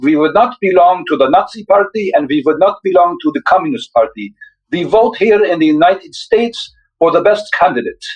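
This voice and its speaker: A man's voice: sits high, expressive, moderate pace, pitched above the performer's own voice, low-quality recording. A man voicing his elderly Hungarian mother with a thick, self-mocking Hungarian accent. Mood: earnest.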